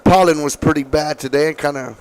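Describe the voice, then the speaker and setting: A man calling softball play-by-play, his voice slightly raspy from allergies, with calm, measured calls. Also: fairly high pitch. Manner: calm, measured calls, excited on big hits. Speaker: play-by-play announcer.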